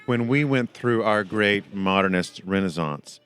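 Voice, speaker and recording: A man's voice: fairly low pitch, measured pace, a bit bright, clear, resonant tone. An American man hosting a podcast, asking his guests questions in a curious, conversational way. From United States. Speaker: podcast host. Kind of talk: casual interview chat.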